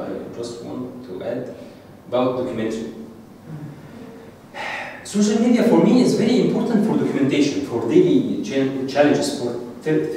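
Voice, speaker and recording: A middle-aged man with an Egyptian Arabic accent, Arabic native, giving an informal lecture to an audience, halting and repeating words. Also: medium pitch, mellow. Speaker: masterclass speaker. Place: dark screening room with audience. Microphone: handheld mic, filmed from the back of the room. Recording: echoey room, distant mic, faint hiss.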